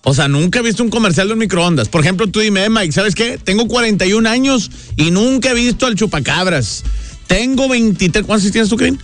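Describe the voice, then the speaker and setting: A man's voice: high-pitched, expressive, a little fast. A man in his thirties with a northern Mexican accent hosting a radio show in slangy, exuberant casual talk, repeating phrases for emphasis. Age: 30s. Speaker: radio host. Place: radio studio. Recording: radio broadcast with music underneath.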